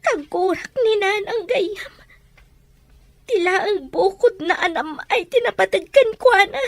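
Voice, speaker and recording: Tearful, high-pitched pleading daughter. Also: female voice, animated, unhurried, no room sound, heavily acted. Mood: tearful, hurt. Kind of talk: radio drama acting.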